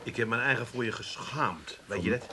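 An actor playing an embarrassed, cautious grown son in a radio comedy, hesitant and exasperated. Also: male voice, mid-range voice, fairly quick, over loud music, close to an everyday voice.

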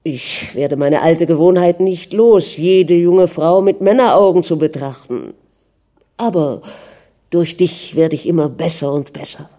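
An irritable prince, put on by a woman narrator, talking brusquely and impatiently. The voice sits a little low and sounds dark and mellow. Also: lively intonation, normal speed, hardly any pauses, natural voice. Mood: irritated. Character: irritable male prince, curt and dismissive.

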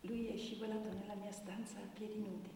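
An actress playing a wounded young woman giving halting, intense testimony about abuse in a theatrical radio drama. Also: medium pitch, little pitch movement, natural voice. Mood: pained, hushed. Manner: halting, intense, dropping to hushed tones. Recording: distant, echoey hall with faint noise.

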